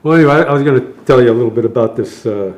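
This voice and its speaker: A man's voice: medium pitch, rapid-fire, a little mellow. An older man, a retired air traffic controller, casually chatting and telling a meandering anecdote as a podcast co-host.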